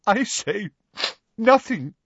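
Storybook seer-teacher, older woman played by a man: shrill, throbbing, self-pitying grandeur, wildly swooping pitch. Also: animated, takes it slowly, a bit bright, breathy edge, no room sound, muffled recording, exaggerated voice. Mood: wounded, indignant. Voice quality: high-pitched, wavering, throbbing. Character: hysterical, theatrical older female divination teacher.

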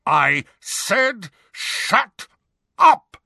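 A man's voice: high-pitched, very animated, very slow, gravelly, bright, forceful tone, husky, dry recording, clean studio sound, pitched above the performer's own voice, heavily acted. A man voicing a fierce, hot-tempered man who roars in exasperation in a storybook reading. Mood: furious. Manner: roaring, exasperated.

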